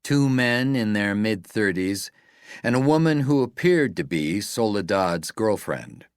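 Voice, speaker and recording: A man reading a novel as an audiobook narrator, in a measured, deliberate way with falling phrase endings. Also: lowish voice, lively intonation, clear, resonant tone, no room sound, clean studio sound.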